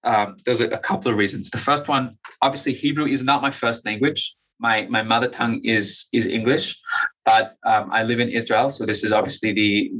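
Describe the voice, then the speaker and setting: A young man talks through his answers in a bright, forceful voice, stumbling over restarts and leaning on fillers. His voice comes through a slightly dull, lo-fi recording. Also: medium pitch. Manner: hesitant, many restarts and fillers. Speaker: interview guest. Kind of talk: conversational interview answer.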